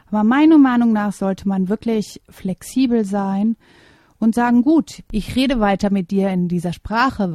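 A middle-aged woman answers interview questions in a calm, reflective way, often pausing to find her words. Her voice is warm and mellow. The recording is dry and close, with no room sound. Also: medium pitch, dry recording. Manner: thoughtful, measured, with hesitations. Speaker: interviewee, German teacher.

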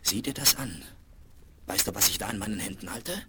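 An actor playing a decisive frontier hero with resolute authority in a 1970s German western radio play. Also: male voice, lowish voice, animated, brisk, natural voice. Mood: astonished, hushed. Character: decisive, commanding Wild West frontier hero. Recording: vinyl transfer with background noise.

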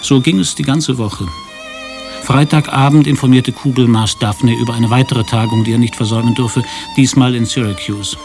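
A male narrator with a medium-pitched voice tells the story of a radio play. His delivery is scripted, dry and ironic, with music clearly behind him. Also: fairly even intonation, moderate pace.

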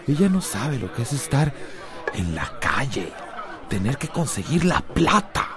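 An actor with a Costa Rican accent playing a stubborn, self-pitying drunken husband in a radio drama. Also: male voice, medium pitch, big pitch swings, unhurried, music in the background. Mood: aggrieved, drunk. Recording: busy crowd ambience behind voice. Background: crowd chatter.

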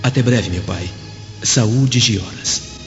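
A young slave in a radio drama, earnest and theatrical with hidden resolve. His voice is on the low side, set in long reverb with music behind it. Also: slow pace, fairly bright tone, lo-fi sound. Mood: bitter, resolute. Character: young male slave, outwardly deferential, secretly vengeful. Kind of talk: Brazilian radio drama acting.

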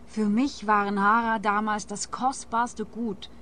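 A woman's voice: mid-range voice, level tone, slow, fairly bright tone, a little hiss or hum. An actress with a Swiss accent playing a defensive, bitter eccentric woman in a radio play, earnest and easily offended. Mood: earnest, wounded. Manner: earnest, touchy. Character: defensive, bitter female eccentric hair collector.

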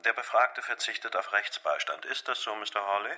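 Formal young federal interrogator, insistent, lowish but very sharp voice, crime radio drama. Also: male voice, animated, a little fast, exaggerated voice. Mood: formal, controlled. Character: formal young FBI agent conducting an interrogation.

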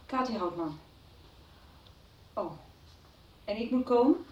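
An emotional, defensive friend. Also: female voice, a little high, animated, slow pace, a little mellow, highs cut off, a little hiss or hum. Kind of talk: 1990s radio soap acting.

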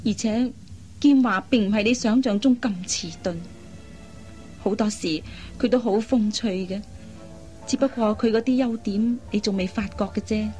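A woman playing a kind, persuasive young elder sister in a Cantonese radio drama, coaxing and teasing in drawn-out phrases. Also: mid-range voice, a little mellow, lightly acted. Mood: gentle, persuasive.

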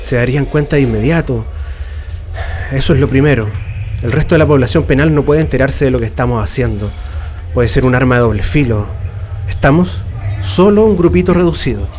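An actor playing a cautious, methodical political prisoner plotting an escape, with a Chilean accent and deliberate, halting delivery in a radio drama. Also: male voice, medium pitch, expressive, darkish tone, slight background noise, natural voice. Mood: cautious, resolute.

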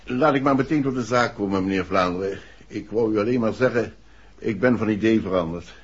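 An actor playing a grave, resolute bereaved father, a formal and courteous gentleman, in a 1960s Dutch radio play. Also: lowish voice, fairly quick, slightly rough. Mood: formal, uneasy. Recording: dull, muffled old radio recording.

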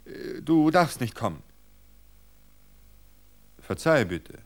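A man's voice: medium pitch, big pitch swings, takes it slowly, voice pushed up. A man voicing a young married woman who is polite, guarded and easily startled, with a hesitant, emphatic manner. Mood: nervous, apologetic.

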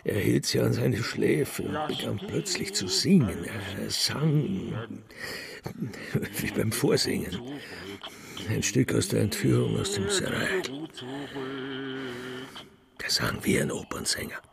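An actor playing a distraught, bitter elderly father in a radio crime drama, halting and dropping into whispers. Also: fairly low pitch, animated, a crowd in the background, exaggerated voice. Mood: grieving, stunned. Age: older. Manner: halting, breathy drops into whispering. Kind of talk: radio crime drama acting, monologue and dialogue.

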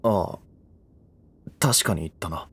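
A man's voice: low voice, slow pace, a bit bright, heavily acted. A man playing a brash, earnest, conflicted young man in a Japanese voice drama, speaking bluntly and casually. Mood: guarded, subdued. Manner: rough, blunt casual speech.

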